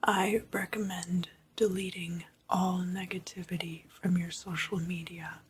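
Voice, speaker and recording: A woman hosting ASMR, whispering a guided meditation in a slow, hushed manner and softly repeating her phrases. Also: lowish voice, little pitch movement, slight background noise, poor audio. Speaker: ASMR video host.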